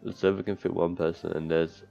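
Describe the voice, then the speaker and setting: A man's voice: deep voice, level tone, brisk, vocal fry, mellow. A man streaming a visual novel, reading its text aloud and adding crude, sardonic, profane commentary. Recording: dull lo-fi mic, music behind. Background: game music.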